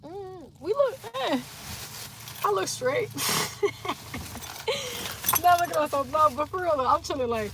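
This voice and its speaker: A woman's voice: high-pitched, measured pace, pauses often, noisy background. Chatty young woman rambling on a livestream. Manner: rambling, animated, slangy, talks to her viewers. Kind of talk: casual livestream monologue.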